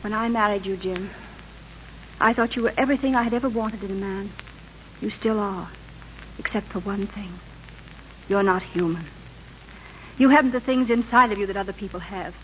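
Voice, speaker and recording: Actress as an earnest, insistent governor's wife, muffled radio. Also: female voice, fairly high pitch, moderate pace, pauses often, fairly bright tone, lightly acted. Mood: sad, bitter. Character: governor's pleading, passionate, morally indignant wife. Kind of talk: old-time American radio drama acting. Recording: muffled, noisy vintage radio recording.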